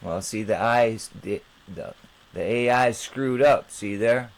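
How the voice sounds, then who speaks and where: A man hosting an informal explanatory monologue, with halting, rambling phrasing. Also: on the low side. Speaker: channel host.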